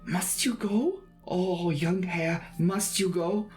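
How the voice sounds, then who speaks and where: A man voicing an excitable elderly peasant landlady in a classic novel audiobook, with a fretful manner. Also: high-pitched, slow pace, faint music behind, voice pushed up. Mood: hysterical pleading. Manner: fretful, pleading.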